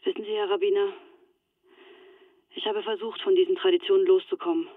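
A woman's voice: medium pitch, steady tone, a little fast, dry recording. An actress playing an anxious, resigned young woman in a German radio drama, speaking earnestly through a telephone filter. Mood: earnest, bitter. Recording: thin, band-limited telephone line.

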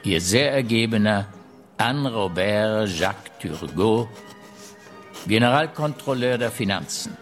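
Radio drama: courtly French minister dictating a confidential letter, grave, articulate, slow, faint music underneath. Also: male voice, lowish voice, animated. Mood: earnest, concerned. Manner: measured, deliberate, articulate delivery. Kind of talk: radio drama monologue, letter read aloud.

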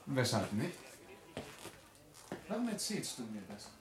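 A man's voice: medium pitch, big pitch swings, takes it slowly. An actor playing an earnest, touchy grown son in a radio drama, formal and defensive in his talk. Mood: wary, irritated. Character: earnest, touchy adult son of an honoured patriarch. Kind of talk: German radio drama acting.

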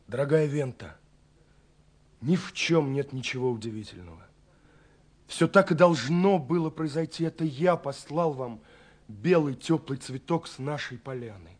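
A man's voice: medium pitch, animated, a little slow, pauses often. An actor playing an ardent, poetic young man in love, reading letters with rapt tenderness in a radio play. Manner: rapt, swelling then dropping to hushed tenderness. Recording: 1970s radio production with music. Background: music.

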